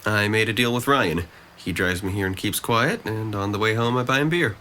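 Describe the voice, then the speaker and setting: Male actor as pedantic, scheming teenage boy: bright low voice, condescending precision, noisy recording. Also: moderate pace. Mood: dry, matter-of-fact. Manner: articulate, formal phrasing, condescending. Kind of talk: audio drama dialogue.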